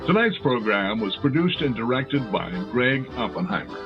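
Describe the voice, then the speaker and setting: An actor playing a genial, blunt announcer sidekick in an old-time radio comedy style. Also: male voice, mid-range voice, big pitch swings, moderate pace, music in the background. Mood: formal, genial. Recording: slightly dull radio-style audio.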